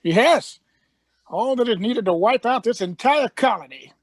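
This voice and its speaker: A woman playing an eccentric, shrewd time traveller in a radio sci-fi serial, husky, musing aloud and repeating phrases. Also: on the high side, lively intonation, unhurried, no echo, studio quality.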